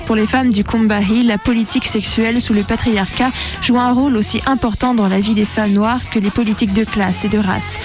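A woman radio presenter reads a scripted documentary. Her voice sits fairly high, and music plays lightly behind her. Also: steady tone, brisk. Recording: music under the voice.

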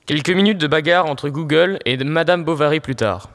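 A man's voice: on the high side, normal speed, fairly bright tone. A young man playing the storytelling narrator of a comic romance, reading scripted narration. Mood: matter-of-fact. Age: teenager or young adult. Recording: echoey hall, live stage performance.

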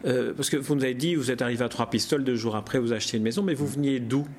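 A man's voice: medium pitch, very fast, background noise. A man interviewing a guest, asking formal questions and often restarting as he searches for words.

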